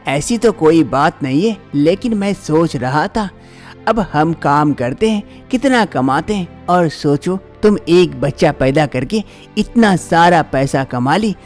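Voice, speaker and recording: A man's voice: fairly high pitch, animated, unhurried, darkish tone, soft background music. A man voicing a worried, scheming, money-hungry young husband in a cartoon story. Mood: pondering.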